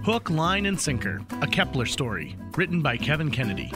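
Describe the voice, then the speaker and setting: A male announcer reads scripted radio drama narration. His voice sits fairly high, and music plays clearly underneath it. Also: big pitch swings, brisk.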